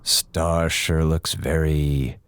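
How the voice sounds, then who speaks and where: A man's voice: a bass voice, steady tone, drawn-out words, smooth, no room sound, heavily acted. A man playing an over-eager, evasive space station officer in an audio drama, rambling and stammering with theatrical casualness. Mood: falsely casual, nervous.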